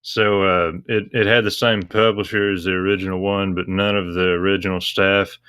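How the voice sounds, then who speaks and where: Low-voiced man, flat and matter-of-fact. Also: moderate pace, clear, resonant tone, dry recording, clean studio sound. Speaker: interview guest, visual novel translator. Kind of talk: casual explanatory discussion.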